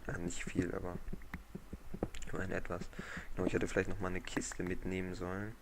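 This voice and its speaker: Hoarse low-voiced man with a gravelly edge. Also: fairly quick. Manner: relaxed, rambling, thinks aloud. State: hoarse from a cold. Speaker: gaming channel host. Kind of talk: casual let's-play commentary. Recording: noisy home mic recording.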